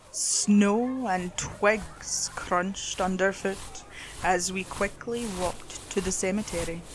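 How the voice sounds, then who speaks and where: A woman's voice: mid-range voice, slight background noise, low-quality recording. A woman reading a scripted horror story as its narrator.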